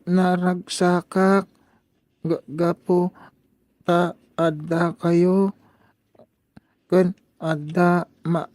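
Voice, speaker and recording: A woman playing a shy, grateful fifteen-year-old boy who stutters and speaks haltingly in a radio drama. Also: on the low side, dark tone, no room sound. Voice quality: heavy stutter. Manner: halting, repeats first syllables.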